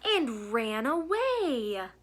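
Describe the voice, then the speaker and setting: Lady doing kids storytime, highish voice, really sing-song up and down. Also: very animated, drawn-out words, fairly bright tone. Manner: asks the young listeners questions. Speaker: storybook reader. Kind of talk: expressive children's read-aloud.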